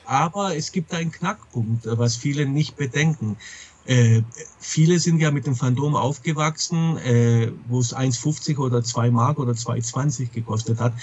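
A middle-aged man speaks as an interview guest in a casual, candid way. His voice is a little husky and sits at an average pitch. He often hesitates and rephrases as he goes. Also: normal speed, a little mellow, lo-fi sound. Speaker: comic publisher being interviewed. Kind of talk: casual interview talk about a trade.

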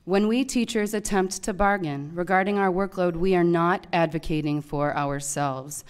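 A woman's voice: on the low side, moderate pace, clear, resonant tone. Earnest woman teacher at a podium. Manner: earnest, pleading, rising to loud emphasis. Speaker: teacher giving public comment. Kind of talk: prepared public comment speech. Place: large public meeting hall with audience. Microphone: podium microphone.

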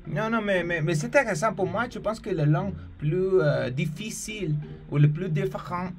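A young man from the United States hosting a casual video-call chat, talking in a relaxed, friendly way with hesitant fillers. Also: high-pitched, normal speed. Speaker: polyglot host. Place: small indoor room. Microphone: headset on video call. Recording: video call with music underneath.

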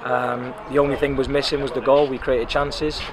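A man in his thirties, a football club manager, giving a frank, animated post-match interview outdoors beside the pitch, with many "um"s and "you know"s. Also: normal speed, crowd noise. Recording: loud outdoor noise with passing traffic.

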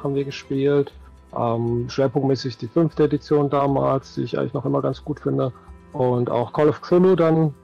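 A man's voice: medium pitch, creaky, a little mellow, lo-fi sound. A middle-aged man chatting casually and reflectively as an interview guest on a video call, often hedging as he thinks. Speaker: interview guest, tabletop game master. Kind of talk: casual chat. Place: home room, indoors, on a video call. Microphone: headset. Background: faint music.

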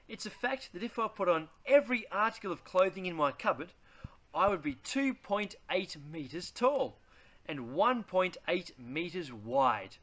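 A man, reading a children's picture book aloud in a deliberate, theatrical way. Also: sits high, bright, forceful tone. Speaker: read-aloud host.

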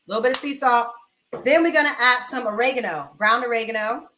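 Young woman, bright forward voice, slightly high, informal slangy livestream chat, echoey kitchen webcam sound. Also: a little fast. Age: young adult. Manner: informal, slangy, chatting to viewers while moving around. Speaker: cooking livestream host. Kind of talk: casual chatty livestream while cooking. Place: home kitchen, indoors. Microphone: webcam-style mic at arm's length or farther.

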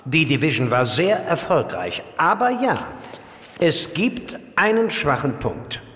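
An actor playing a formal, deliberate, secretive military colonel in an audio drama. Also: male voice, fairly high pitch, sing-song, fairly bright tone. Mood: grave. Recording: echoey room, long reverb.

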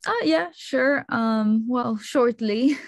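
A woman in her thirties from Poland, a native Polish speaker, chatting as a guest on a video call, relaxed and sprinkled with fillers and small laughs. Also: on the high side, drawn-out words, gravelly, no echo, clean studio sound. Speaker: guest, kimono stylist and photographer. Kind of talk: casual video-call interview. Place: small room at home.

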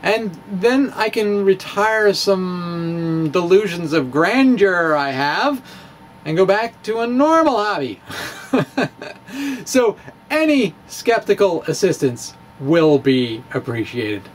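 A middle-aged man giving a dramatic reading of a science blog to camera, theatrical and emphatic, with halting phrasing and stretched words. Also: sits high, lively intonation, a little hiss or hum. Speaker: amateur physicist and video blogger. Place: small indoor room with bookshelves. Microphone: handheld-style microphone on a stand, close in front.

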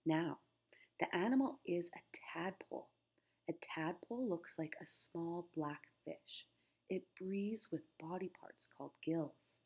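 A woman's voice: fairly low pitch, a little slow, a little mellow, breathy edge. A middle-aged woman teacher reading a children's nature book aloud, phrasing things simply and putting questions to young listeners.

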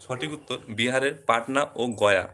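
A man's voice: mid-range voice, fairly quick, noisy background. A man teaching a quiz lesson, calling out questions and answers in short, separate phrases. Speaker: quiz teacher at a coaching centre.

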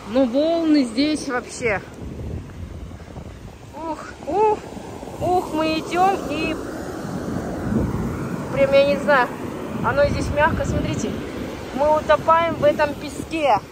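Woman vlogging through loud wind noise. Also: high voice. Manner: admiring, exclamatory. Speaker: travel vlogger. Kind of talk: casual travel vlog commentary. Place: outdoors, on a windy ocean beach.

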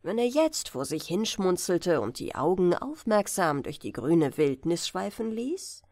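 Unhurried, expressive woman reading fairy tales. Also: fairly low pitch, no echo, studio quality. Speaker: audiobook narrator.

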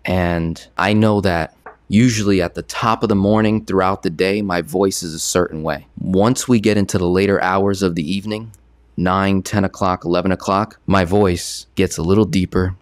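A young man giving casual, energetic talking-head commentary on money into a studio boom mic, repeating himself and gesturing a lot. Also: no echo. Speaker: personal finance creator. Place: small bright studio. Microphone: boom arm studio mic.

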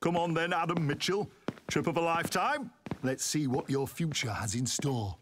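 A man voicing a brisk, cheeky, commanding time traveller in an audio drama, emphatic and teasing. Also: on the high side, fairly bright tone, slight background noise, pitched above the performer's own voice, natural voice. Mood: upbeat, teasing.

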